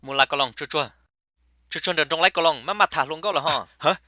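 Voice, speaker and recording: A man's voice: fairly high pitch, fast, sharp tone. A man performing casual, chatty comic dialogue for a cartoon.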